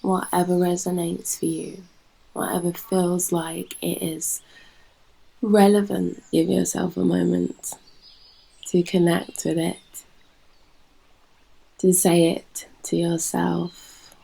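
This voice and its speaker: A British woman guiding a yoga nidra meditation in a soft, breathy, soothing manner with long pauses. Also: fairly low pitch, little pitch movement, poor audio. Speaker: yoga nidra guide and host. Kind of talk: guided meditation narration.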